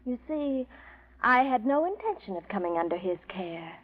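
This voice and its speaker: Casting: cold, commanding young schemer for vintage radio melodrama; high, swooping delivery, muffled recording. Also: female voice, big pitch swings, moderate pace. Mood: bitter, possessive. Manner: theatrical, intense, commanding. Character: selfish, scheming young woman, cold murderess feigning fear. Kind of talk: 1940s radio drama acting.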